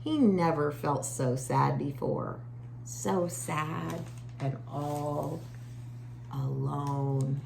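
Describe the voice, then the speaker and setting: A woman reading a children's story aloud expressively, stretching words and slipping into hushed, chatty asides to young listeners. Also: low-pitched, vocal fry, a little hiss or hum.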